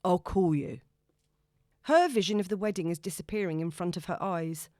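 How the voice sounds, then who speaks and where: A woman's voice: on the low side, animated, quick pace, dark tone, no room sound, studio quality. A woman reading scripted novel narration as an audiobook narrator.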